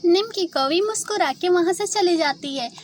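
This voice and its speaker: A woman's voice: very high-pitched, moderate pace, a little hiss or hum. A woman narrating a scripted children's story as the storyteller of a cartoon.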